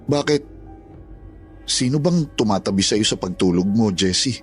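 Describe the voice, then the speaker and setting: A man's voice: fairly low pitch, expressive, normal speed, soft background music, close to an everyday voice. A man playing a curious, questioning houseboy at an orphanage in an audio horror story.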